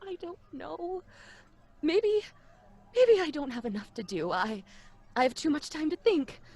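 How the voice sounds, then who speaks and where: A woman's voice: high-pitched, lively intonation, measured pace, a little mellow. An anxious, frightened mother. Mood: weary. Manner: tremulous and breathy with worry. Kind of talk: live audio drama performance.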